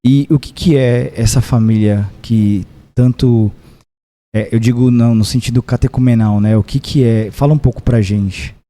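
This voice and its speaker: A man's voice: medium pitch, steady tone, moderate pace, dark tone. A man hosting a parish podcast, introducing his guest and asking her questions. He talks in a chatty way and often leans on a tag "né?". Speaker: podcast host.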